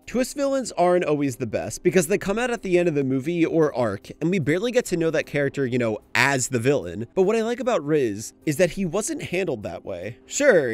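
A man's voice: mid-range voice, darkish tone. A young man reading scripted analytical commentary in a conversational, opinionated way. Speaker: video essayist. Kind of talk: scripted video essay commentary.

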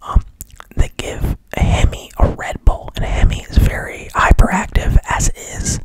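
A man's voice: measured pace, poor audio. Whispering man retelling a film. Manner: rambling, profane, hesitant. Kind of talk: whispered movie recap.

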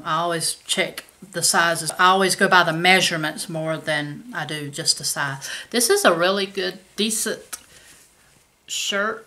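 A woman's voice: on the low side, pushed and bright. A woman, chatting casually about her shopping haul to a camera at home. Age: 50s. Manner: chatty, folksy, says y'all. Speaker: haul vlogger. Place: home kitchen indoors.

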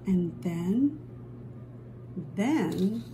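Woman reading a kids book out loud, slow and dramatic, some noise in the background. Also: mid-range voice, lively intonation. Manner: dramatic, drawn-out emphasis on key phrases. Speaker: storyteller reading a picture book aloud. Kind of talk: expressive children's read-aloud. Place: indoors at a table, book held before camera.

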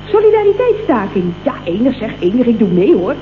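A thin, phone-like vintage radio comedy recording with faint noise. a high-voiced Dutch woman at the office, chatty and gleeful. She gushes and teases in exclamations. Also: big pitch swings, a little fast. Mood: gleeful, excited. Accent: Dutch, from the Netherlands. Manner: gushing, exclamatory, teasing. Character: chatty, gleeful, cheeky female office colleague. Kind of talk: late 1960s comic radio play acting.

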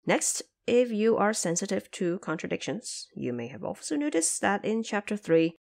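A woman hosting and narrating a scripted explainer in a didactic, carefully enunciated manner. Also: deep voice. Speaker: host and translator.

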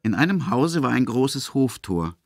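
A man's voice: medium pitch, very animated, a little slow, no room sound, soft background music. A man reading scripted literary narration as the narrator of a radio play.

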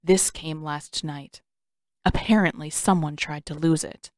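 A woman's voice: deep voice, fairly even intonation, measured pace, dry recording, clean studio sound, pitched below the performer's own voice. Woman doing an angry teen boy in an audiobook, cold and brittle, accusing. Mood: cold, stony. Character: angry, bitter teenage boy. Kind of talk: audiobook character acting.

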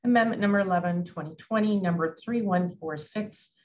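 A woman with a Canadian accent, chairing a council meeting as mayor, reading bylaw motions in a brisk, procedural way over a video call. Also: fairly low pitch, a little mellow, lo-fi sound, slight background noise. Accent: Canadian English.

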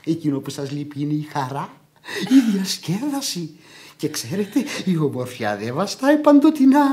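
An actor playing a fussy old widowed manservant in radio theatre, exclaiming and coaxing in a high, thin voice. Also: male voice, big pitch swings, darkish tone. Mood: coaxing, exasperated. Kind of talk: Greek radio theatre acting.

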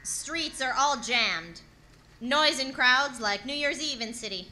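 A woman's voice: sits high, expressive, takes it slowly, sharp tone, exaggerated voice. A woman playing a grave, urgent radio announcer reporting an invasion in clipped, dramatic 1930s radio drama style. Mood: tense dread.

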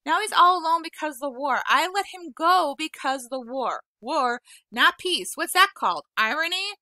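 Narrator voices a defensive, tearful boy, pitched up and bright. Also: lively intonation, normal speed, dry recording. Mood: hurt, insistent. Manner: raw, defensive, voice rising in protest. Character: upset 12-year-old boy, frustrated and tearful.

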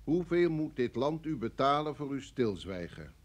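An actor in a radio play playing a cool, coercive security service official, formal and smoothly insistent. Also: male voice, mid-range voice, big pitch swings, normal speed. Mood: firm, menacing.

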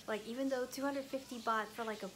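A woman's voice: a little high, fairly even intonation, quick pace. Young woman chatting to her phone in a hotel room, rambly, says like a lot, faint hiss behind. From Thai, raised in the US. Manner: rambling, heavy use of "like", soft and chatty. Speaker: travel vlogger. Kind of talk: casual vlog to camera. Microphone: phone camera at arm's length.

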